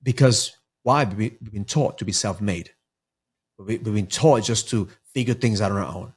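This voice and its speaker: A man's voice: fairly low pitch, moderate pace, husky. A middle-aged man from Ecuador, a mindset coach talking earnestly as a podcast guest, often repeating words as he restarts. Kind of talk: podcast interview.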